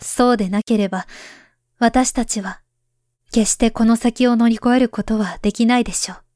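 A woman voicing a grown woman who writes to her past self, speaking softly and gravely, often near a whisper. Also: on the high side, fairly even intonation, moderate pace, dry recording, clean studio sound, close to an everyday voice. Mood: earnest. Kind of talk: voice drama letter monologue.

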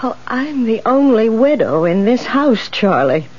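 An actress playing a shaken, fearful widow who runs a boarding house, in halting 1970s radio drama style. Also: female voice, on the high side, very animated, noisy background. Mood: dread. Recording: muffled old radio drama broadcast.

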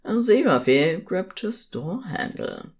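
A woman's voice: fairly low pitch, big pitch swings, measured pace, breathy edge. A woman reading scripted fiction as the narrator of an audio drama.

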